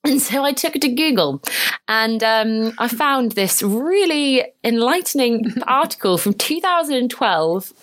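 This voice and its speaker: A young woman from the UK co-hosting a podcast in casual chat, talkative and quick to laugh. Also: a little high, lively intonation, fairly bright tone. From United Kingdom. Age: young adult. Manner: chatty, reads out quotes, laughs often. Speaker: podcast co-host.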